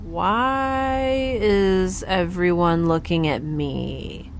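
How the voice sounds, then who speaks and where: An actress playing a guarded, flustered young woman in a sci-fi audio drama, halting, defensive and rambling in a naturalistic style. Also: fairly low pitch, slight background noise.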